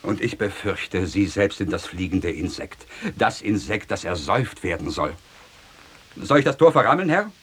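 Ein Schauspieler spielt in einem komischen Hörspiel einen gehorsamen, wortreichen, trocken besorgten Sklaven. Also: male voice, deep voice, lively intonation, moderate pace, fairly bright tone, slightly rough, full character voice. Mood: worried. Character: obedient, wryly worried male slave of a vintner. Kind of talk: comic stage-style radio drama dialogue. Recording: 1969 German radio play.

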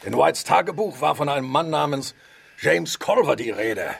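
An actor playing an irascible, excitable professor and explorer in a radio drama, with a gravelly, husky voice. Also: male voice, fairly high pitch, moderate pace, full character voice. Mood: matter-of-fact. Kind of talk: German radio drama acting.

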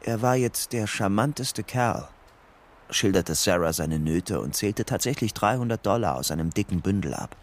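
A man's voice: lowish voice, lively intonation, normal speed. An actor playing a wary, troubled young man on the run in a crime radio drama, brooding and sardonic as he tells his story. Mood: brooding, narrating. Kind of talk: German crime radio drama, narration and dialogue.

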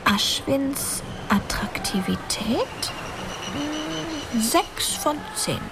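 Very slow, musing young diarist. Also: female voice, on the high side, sing-song, noisy background, full character voice. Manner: halting, musing, partly whispered. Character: reflective young woman dictating her private diary. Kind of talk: radio play monologue, diary entry. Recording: music under the voice.

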